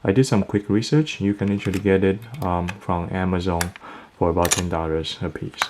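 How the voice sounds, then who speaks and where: A man giving a casual unboxing and product review, talking in a halting, think-aloud way. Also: low-pitched, fairly even intonation, a little mellow, a little hiss or hum.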